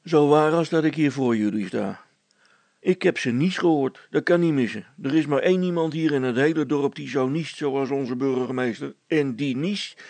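A man's voice: fairly high pitch, vocal fry, darkish tone, dry recording. A man voicing a self-important, gossipy village policeman who talks in a hesitant, confiding and bossy way in a storybook reading. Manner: hesitant, confiding, bossy, stammering over words.

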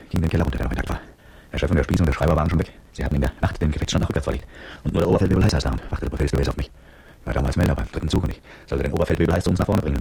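An actor playing a brooding petrol-station attendant and former soldier, narrating his memories in terse, reflective radio drama style with a gravelly voice. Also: rumbling low, a little mellow, exaggerated voice. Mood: tense recollection. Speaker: narrator and protagonist. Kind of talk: literary first-person radio drama narration.

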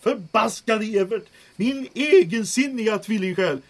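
A flamboyant, lovesick troubadour in an audio drama, played by a man. He sighs and half-sings his lines in a high, wildly swooping voice. Every phrase is grand and theatrical. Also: lively intonation. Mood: mournful, theatrical. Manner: theatrical, sighing and half-singing. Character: eccentric lovesick male troubadour prisoner, dramatic.

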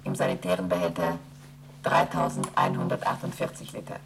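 Radio-play station computer: very deep, gravelly, lively pitch, flat reporting. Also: male voice, a little fast, over music, exaggerated voice. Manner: monotone reporting of figures and readings. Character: male lunar station computer, flat and dutiful.

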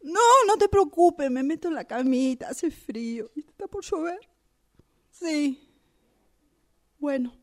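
A woman's voice: sits high, expressive, takes it slowly, long pauses. A woman with an Argentine Rioplatense accent playing a hurt, resentful partner on the phone in a radio drama. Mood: sad, resigned.

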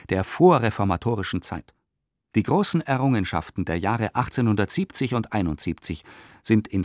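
An actor in a radio play reciting an 1890s newspaper polemic as an impassioned, indignant reader with emphatic rhetorical delivery. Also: male voice, lowish voice, no room sound, studio quality, lightly acted. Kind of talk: dramatic radio play recitation of historical press text.

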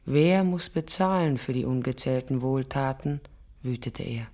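An actress playing a tender, firm German mother in a radio drama, speaking deliberately, like a solemn storyteller. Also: a bass voice, warm tone, exaggerated voice. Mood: solemn, ominous. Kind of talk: radio drama acting, fairy-tale storytelling. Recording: music behind the voice.

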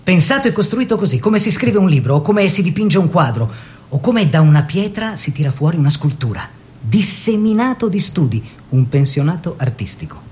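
Earnest painter in a radio play, very animated pitch, declaims in measured phrases. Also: male voice, a little hiss or hum. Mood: descriptive, lyrical. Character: earnest male painter, lover and teacher of his model. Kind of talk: theatrical radio drama dialogue.

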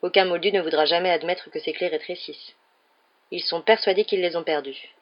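A woman's voice: fairly low pitch, steady tone, brisk, dull audio, natural voice. A woman voicing a weary middle-aged wizard father in a storybook, enthusiastic but sheepish, rambling and stammering when cornered. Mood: weary, explaining.

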